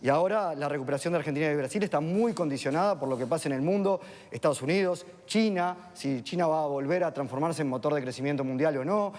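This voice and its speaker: A man, an economist explaining things in a measured, analytical way on a TV panel. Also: fairly high pitch, darkish tone, long reverb tail. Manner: measured, explanatory, with filler tags.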